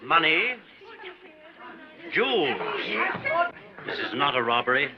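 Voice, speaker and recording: An actor playing a brusque police officer asking curt, routine questions, in a 1930s film. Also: male voice, mid-range voice, very animated, unhurried, heavy background noise. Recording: dull early-1930s film soundtrack.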